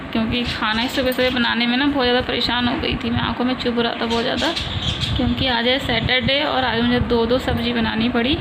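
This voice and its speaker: A woman in her thirties, from a village in India, chatting casually and rambling on in a home vlog. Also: on the high side. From rural India. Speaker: vlogger. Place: home in the city. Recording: loud background noise, traffic.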